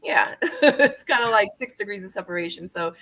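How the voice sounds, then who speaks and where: A woman's voice: medium pitch, expressive, normal speed, gravelly, fairly bright tone. A woman in her forties, born in Korea, hosting a casual online interview show, chatty and upbeat, talking straight to her viewers. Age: 40s. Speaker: YouTube interview host.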